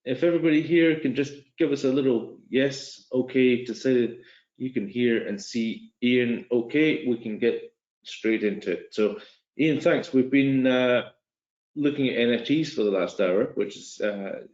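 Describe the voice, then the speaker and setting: A man's voice: medium pitch, moderate pace, a little mellow. A man hosting a live webinar who introduces a trading guest in a hesitant way, with many fillers and restarts. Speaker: webinar host. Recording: echoey lo-fi video call audio.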